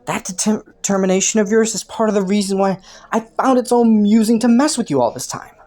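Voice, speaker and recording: A woman's voice: mid-range voice, brisk, natural voice. A woman playing a flirty, taunting underworld criminal in a roleplay audio, with a playful, teasing drawl. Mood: flirty, sly. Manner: playful, teasing drawl with frequent soft chuckles.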